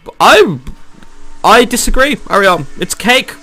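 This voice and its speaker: A melodramatic schoolboy rallies his comrades for battle, played by a man reading a visual novel. The voice is high, bright and urgent, swinging boldly in pitch like a tiny war commander. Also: very animated, measured pace, faint music behind, full character voice. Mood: defiant, dramatic.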